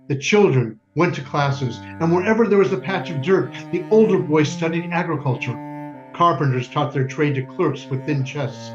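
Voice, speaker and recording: A man's voice: on the high side, echoey room, lightly acted. Man acting a solemn husband narrating, muffled, over music. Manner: measured, solemn, poetic delivery. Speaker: community theater actor. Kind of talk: dramatic stage narration.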